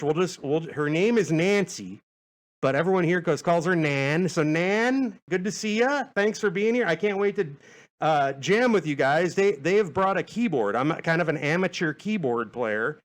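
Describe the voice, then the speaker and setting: A man's voice: fairly high pitch, lively intonation, moderate pace. A man hosting a podcast in casual, rambling talk, hesitant and self-deprecating, often stammering and repeating his words. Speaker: podcast host.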